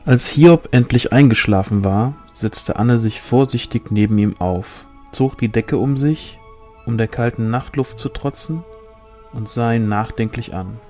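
A man reading a fantasy novel aloud as scripted narration, in a measured storytelling manner. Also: medium pitch, a little mellow, faint music behind. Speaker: audiobook reader.

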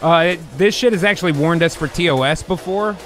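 A man's voice: fairly high pitch, a little fast, fairly bright tone. A man streaming from close on the mic, chatting casually and brainstorming, drawing out phrases as he types along. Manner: drawn out while typing aloud. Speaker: co-host and writer. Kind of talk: casual improvised brainstorming chat. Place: home streaming room. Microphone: close microphone on boom arm. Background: music.

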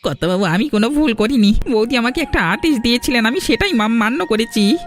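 A man's voice: very high voice, lively intonation, a little fast, fairly bright tone, faint music behind. A man playing a humble, loyal, anxious village servant in a cartoon, deferential, with a Bengali accent. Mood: humble, dutiful.

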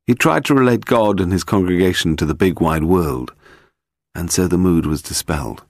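A British man narrating a detective novel in a measured, literary storytelling manner. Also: low voice, animated, no echo, studio quality. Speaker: audiobook narrator.

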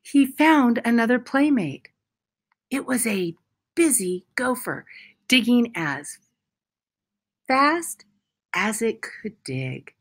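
A woman reading a children's picture book aloud as a storyteller, with deliberate, expressive phrasing and emphasized words. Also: a little high.

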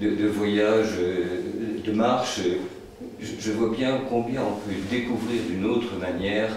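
A man's voice: on the low side, slow pace, a little mellow. A man from the Paris area asking a long, roundabout question at a book evening, hesitant, wordy and polite. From France, Paris area. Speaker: audience questioner, social scientist. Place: indoor meeting room at a public book event. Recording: very echoey room, distant mic, faint noise.

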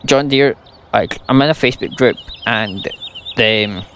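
A man's voice: on the low side, measured pace, a bit bright, slight background noise. A young Scottish man giving blunt, sarcastic gameplay commentary and ranting about a farming game. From Scotland. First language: English. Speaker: gaming YouTuber.

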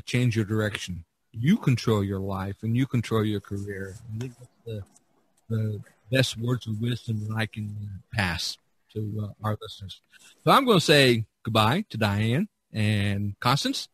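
Bright-voiced man hosting a casual podcast. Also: fairly low pitch. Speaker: podcast host. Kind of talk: casual conversational podcast talk.

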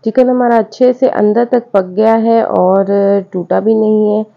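A woman's voice: on the high side, steady tone, a little slow, vocal fry. A woman, hosting a cooking channel and explaining a recipe step by step in a friendly, repetitive home-cook way. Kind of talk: voice-over recipe instructions. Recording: slightly dull, lo-fi sound.

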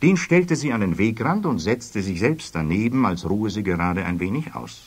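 A man's voice: fairly low pitch, sing-song, normal speed, husky. A man narrating a children's fairy-tale audio play as a scripted storyteller. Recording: music behind, children's cassette production.

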